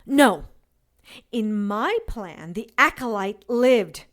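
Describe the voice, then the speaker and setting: A woman playing a sharp, rational young witch who scolds and argues. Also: medium pitch, expressive, slow pace. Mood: scolding. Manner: clipped, indignant, scolding. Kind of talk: audio drama acting.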